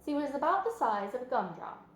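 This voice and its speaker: Female read-aloud narrator for children's fiction. Expressive, varied intonation, slightly high voice; echoey room. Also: fairly quick, a little hiss or hum. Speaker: storybook reader.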